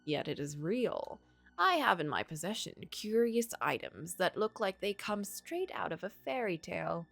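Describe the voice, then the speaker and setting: Woman doing a smooth sly gentleman from a story game, pushy but polite, lots of lilt. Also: medium pitch, big pitch swings, moderate pace, faint music behind, exaggerated voice. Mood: enticing. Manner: courtly, coaxing, insistent. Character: sly, smooth-talking gentleman tempter offering magical bargains.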